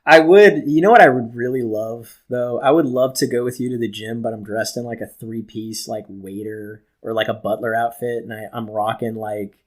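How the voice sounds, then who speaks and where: A man's voice: mid-range voice, normal speed, few pauses. A man co-hosting a podcast in casual, joking banter, riffing freely and swearing as he goes. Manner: profane, animated riffing. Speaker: podcast co-host.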